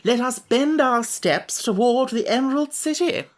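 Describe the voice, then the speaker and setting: A woman's voice: high-pitched, expressive, a little slow, pitched above the performer's own voice. A woman voicing a pompous, overly polite male insect who fancies himself a scholar, speaking in elaborate phrases.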